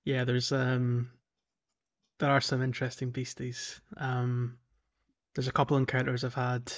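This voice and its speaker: A man's voice: medium pitch, completely flat delivery, measured pace, muffled recording. A man chatting casually as a gaming podcast co-host, hesitant and rambling, with frequent chuckles. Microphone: remote call.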